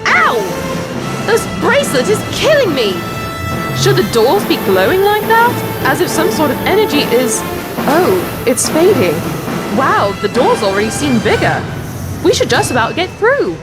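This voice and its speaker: Woman acting very high-pitched, feisty space companion. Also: measured pace, over loud music, heavily acted. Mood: pained, wondering. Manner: exclamatory, expressive. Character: feisty, squeamish young female space-travel companion. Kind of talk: full-cast audio drama acting.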